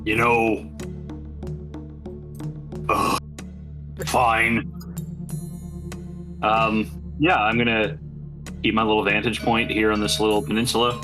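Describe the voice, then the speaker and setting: A man's voice: on the low side, little pitch movement, slow pace, pauses often. A man chatting casually as a player in a tabletop game, with music behind him. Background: loud background music.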